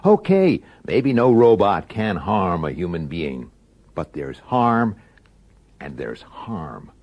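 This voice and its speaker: An actor playing a blunt, world-weary police detective with an impatient manner, in an old radio drama. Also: male voice, low-pitched, big pitch swings, slow pace, highs cut off, full character voice. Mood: brooding narration. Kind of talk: old-time radio drama acting and narration.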